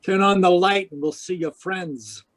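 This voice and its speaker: A hardboiled private eye from an old radio mystery, acted by a man, pitched a little high for the type. He talks in terse, cynical slang and wisecracks like a man who trusts nobody. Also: lively intonation, measured pace, fairly bright tone. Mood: tough, pressing. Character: tough, wisecracking hardboiled private investigator narrating his case. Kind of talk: 1940s radio noir acting.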